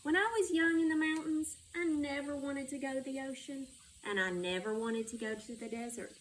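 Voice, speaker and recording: Woman, children's read-aloud, slightly high voice, faint background noise. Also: steady tone, normal speed. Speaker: school superintendent reading a children's book. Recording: distant microphone with background noise.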